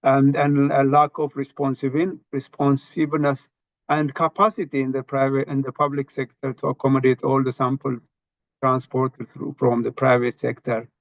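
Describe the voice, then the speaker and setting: A man delivers a formal webinar presentation. His delivery is halting, with words repeated as he works through his points. The sound is dry and close, with a dull, lo-fi online-call quality. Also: mid-range voice, level tone, no room sound. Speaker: presenter from a global health funding organisation.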